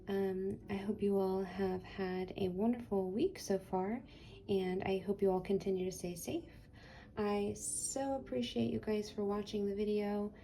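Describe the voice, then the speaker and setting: A woman's voice: mid-range voice, creaky, dark tone, a little hiss or hum. A woman hosting a craft channel, chatting casually while she works, rambling and hesitant with many fillers, her voice tired and getting dry.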